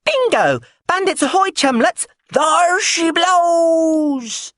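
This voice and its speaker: A man voicing an eccentric, rambling woman who babbles nonsense in a high, excitable voice. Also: unhurried, breathy edge, no room sound, studio quality, full character voice. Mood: triumphant.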